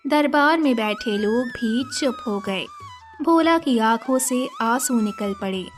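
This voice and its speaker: A woman narrating an animated children's story as a scripted storyteller. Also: fairly high pitch, slow pace. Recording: music behind the voice.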